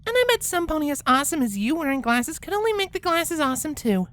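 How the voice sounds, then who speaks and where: A woman's voice: high voice, sing-song, fast, a bit bright. A woman voicing a polite, bookish young female cartoon pony princess, speaking with patient, pedantic precision. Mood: reassuring. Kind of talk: character acting in a fan-fiction story reading.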